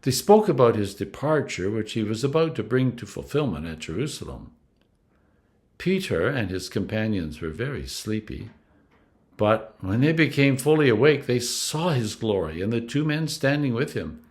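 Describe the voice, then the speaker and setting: A man reads scripture aloud in the role of a clergyman. His medium-pitched voice rises and falls widely, with a deliberate, storytelling delivery that brings drama to the text. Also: big pitch swings, a little mellow. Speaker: Anglican clergyman reading scripture. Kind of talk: expressive scripted scripture reading.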